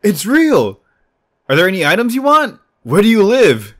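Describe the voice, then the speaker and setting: A man's voice: high voice, moderate pace, voice pushed up, exaggerated voice. A man voicing an eager, fawning male online game guildmate in a manga dub. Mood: excited fawning. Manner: excitable, fawning.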